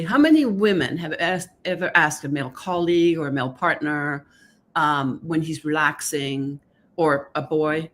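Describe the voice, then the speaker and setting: A woman's voice: sits low, a bit bright. A woman from Denmark with a Danish accent, a guest author on a live video call, explaining her ideas earnestly and often checking in with "right?". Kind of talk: online live conversation.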